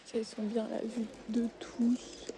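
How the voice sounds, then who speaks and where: A young woman speaking softly and often whispering while tidying shelves in a supermarket aisle, with frequent hesitations. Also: a little high, monotone, a little slow, noisy background. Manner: soft-spoken, drifting into whispers, many hesitations. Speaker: ASMR creator. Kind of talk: soft-spoken ASMR while tidying shelves. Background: faint store ambience.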